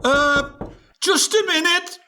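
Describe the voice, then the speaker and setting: A man's voice: very high-pitched, takes it slowly, pushed and bright, faint music behind, exaggerated voice. A man acting in a comic radio play as a blustering, defensive aging jazz musician father, with a theatrical delivery. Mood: flustered, evasive. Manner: theatrical, stammering when cornered.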